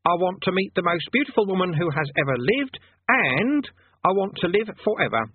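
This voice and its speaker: A man's voice: sits high, lively intonation, normal speed, slightly rough, no echo, voice pushed up. A man voicing a small, fussy, eager male demonologist who tries pompous old-fashioned commands and slides into pleading. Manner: pompous archaic commands, then pleading and flustered. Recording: tinny phone-like dry sound.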